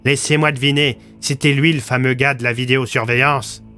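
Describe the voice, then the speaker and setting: A man's voice: medium pitch, steady tone, brisk, sharp tone, clear, resonant tone, faint music behind, voice pushed up, lightly acted. A man playing a blunt, slangy small-town police commissioner recounting his survival in an audio story. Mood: blunt, matter-of-fact.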